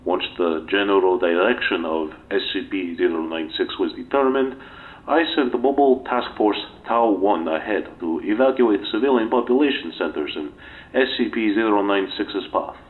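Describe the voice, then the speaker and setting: An actor playing a dutiful scientist giving formal, factual incident testimony in an audio drama. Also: male voice, lowish voice, lively intonation, normal speed, lightly acted. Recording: tinny narrow-band interview recording.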